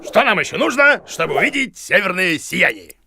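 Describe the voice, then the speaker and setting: An actor voicing a boastful, bumbling bearded man who plays teacher and guide in an excitable, blustering way in a children's cartoon. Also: high-pitched, moderate pace, vocal fry, slight background noise, exaggerated voice. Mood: brisk. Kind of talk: animated children's cartoon acting. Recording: background music behind the voice.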